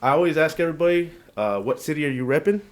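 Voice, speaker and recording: A man hosting a podcast, asking questions in a relaxed, slangy way. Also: fairly high pitch, quick pace, a little hiss or hum. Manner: laid-back, slangy, encouraging. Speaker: podcast host. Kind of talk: casual interview chat.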